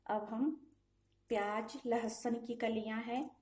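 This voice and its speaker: A woman calmly talking through a home cooking recipe step by step, in a patient, instructive way. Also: on the high side, slow, background noise. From Himachal Pradesh, India. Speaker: home cooking channel host. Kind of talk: step-by-step cooking demonstration voice-over. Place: home kitchen.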